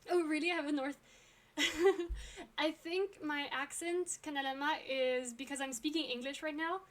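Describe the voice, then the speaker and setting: A woman's voice: high voice, steady tone, unhurried. A young woman from France chatting casually with her live stream viewers, talkative with frequent ums and little laughs.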